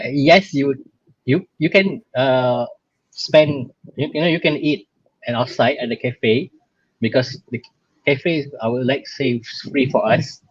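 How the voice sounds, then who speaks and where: A man's voice: medium pitch, no echo. A young Malaysian-accented man informally presenting his own experience as a guest, in a hesitant way with frequent fillers. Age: young adult. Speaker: guest speaker, exchange student alumnus. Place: video call. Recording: slightly dull, lo-fi online-call audio.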